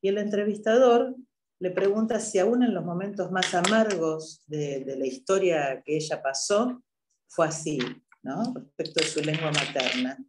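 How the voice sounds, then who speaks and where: A woman moderating a public event, speaking in a measured way with hesitant filler pauses. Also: on the low side, noisy background. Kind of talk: introductory talk at a public event. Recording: echoey room.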